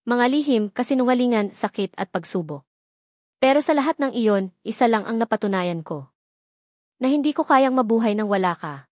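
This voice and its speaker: A woman's voice: fairly high pitch, lively intonation, normal speed, a little mellow, dry recording, lightly acted. Devoted young millionaire lover in an audio romance, read by a woman, earnest, romantic, dry close sound. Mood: emotional, confessing. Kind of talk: audio romance story dialogue.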